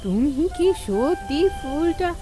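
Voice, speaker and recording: A woman playing a wise, fair old village mother-in-law in a fairy-tale cartoon, speaking with slow, deliberate moralizing. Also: high-pitched, expressive, music in the background. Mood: knowing, reassuring.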